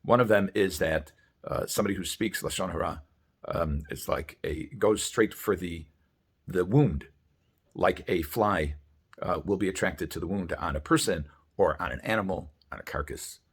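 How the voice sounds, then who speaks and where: A man with a fairly low voice delivers a rabbi's sermon. He explains religious sources deliberately, hesitating often as he works through each point. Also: breathy edge.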